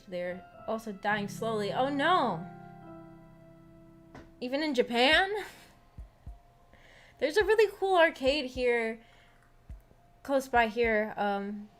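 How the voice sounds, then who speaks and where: Young female streamer, slightly high voice, informal rambling delivery, music playing underneath. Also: expressive, slow, pauses often, a bit bright. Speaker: streamer hosting a visual novel book club. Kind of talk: casual livestream chat, reading and answering viewer comments. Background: background music.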